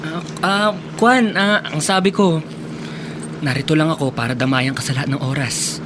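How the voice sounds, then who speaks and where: A man playing an ingratiating, scheming suitor who poses as a generous helper in a Tagalog radio drama, smooth-talking and coaxing. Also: fairly high pitch, normal speed, noisy background, lightly acted. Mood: coaxing, eager.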